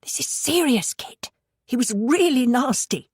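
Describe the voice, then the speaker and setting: A woman's voice: high voice, lively intonation, a little slow, a little mellow, husky, voice pushed up, exaggerated voice. A woman voicing a tense, scheming wife and mother with a British accent, controlled and urgent, in an audiobook.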